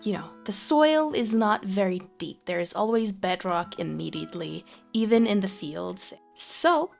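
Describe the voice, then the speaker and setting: A young woman from Finland, chatting casually with fillers and soft laughs while touring her game build. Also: medium pitch, animated, a little slow, vocal fry, soft background music. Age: young adult. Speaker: Minecraft let's play creator. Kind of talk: casual gameplay commentary.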